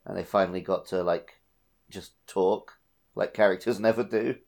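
A man's voice: low-pitched, steady tone, moderate pace, creaky, a little mellow. A man co-hosting a casual video game podcast, sometimes repeating words as he thinks aloud. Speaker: podcast co-host.

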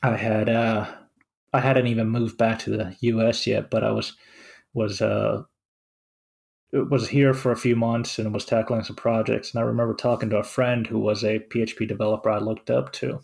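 Gravelly Finnish-born man, halting talk. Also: lowish voice, little pitch movement, darkish tone. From Finland. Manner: hesitant, many fillers and restarts. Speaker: co-host. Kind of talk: casual podcast chat.

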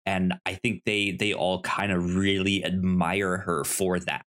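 A man co-hosting a casual film discussion, speaking haltingly and often stammering over the starts of words. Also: deep voice, little pitch movement, fairly bright tone. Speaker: podcast co-host.

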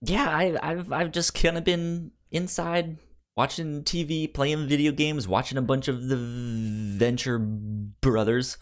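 A man's voice: mid-range voice, steady tone, takes it slowly. A man hosting a podcast in casual chat about movies, often stammering and repeating words as he gets into a sentence. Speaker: podcast host.

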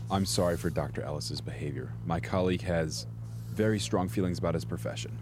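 An actor playing a composed senior research scientist in an audio drama, speaking in a measured, formal, polite manner. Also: male voice, low-pitched, noisy background, close to an everyday voice. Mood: calm, apologetic. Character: composed senior male research scientist, smoothly justifying dubious experiments.

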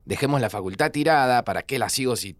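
A man's voice: medium pitch, lively intonation, fast talker, dry recording, lightly acted. An actor playing an anguished, sardonic young Argentine student, devoted to his best friend, in a naturalistic audio drama. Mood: sarcastic, frustrated. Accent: Argentine Rioplatense. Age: young adult. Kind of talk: naturalistic audio fiction acting.

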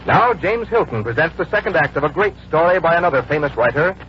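A man's voice: on the high side, lively intonation, fast talker. A man announcing in a polished, scripted 1940s radio style, telling stories as sales copy. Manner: polished, storytelling sales delivery. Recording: muffled, noisy old radio broadcast.

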